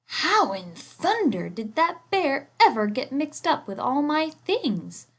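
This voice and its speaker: A woman's voice: high voice, sing-song, slow pace, voice pushed up, heavily acted. A woman voicing a kindly, thoughtful young male artist in a storybook, musing and exclaiming. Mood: puzzled.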